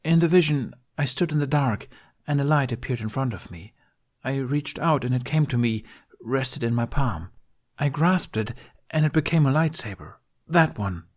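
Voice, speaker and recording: A man's voice: medium pitch, animated, normal speed, voice pushed up, close to an everyday voice. A man doing a nervous young apprentice. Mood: recounting. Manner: hesitant, earnest recounting. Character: young male apprentice knight, earnest, nervous.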